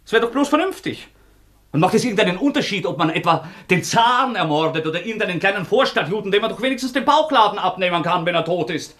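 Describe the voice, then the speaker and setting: An actor in a radio drama playing a feverish, obsessive young former student, halting and repeating himself with intensity. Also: male voice, high-pitched, lively intonation, fairly bright tone. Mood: feverish, agitated. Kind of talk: German radio drama acting.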